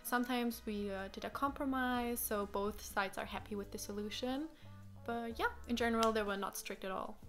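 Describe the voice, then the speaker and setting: Young woman, German native speaker, bright, slightly high voice, chatty and animated, close camera, faint music behind. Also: moderate pace. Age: young adult. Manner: chatty, animated, many fillers and acted-out quotes. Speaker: vlogger answering questions. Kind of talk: casual chat. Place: small living room with sofa, indoors.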